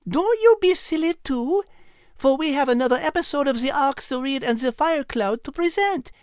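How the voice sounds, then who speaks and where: A woman voicing a clever, refined female cat with a French accent and a playful, polite, gently teasing manner for a children's podcast. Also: high-pitched, lively intonation, normal speed, no room sound, clean studio sound. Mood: brisk, chiding. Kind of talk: children's podcast character banter.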